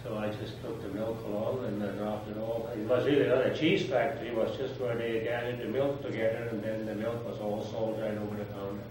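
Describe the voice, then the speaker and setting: An older man from Switzerland recalls his past in a plain, halting manner, pausing often. He sits well back from the microphone. The room is very echoey, and there is steady background noise. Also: on the low side. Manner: plain, halting storytelling with many pauses. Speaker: interviewee. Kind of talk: oral history interview. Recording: echoey room, distant mic, noisy.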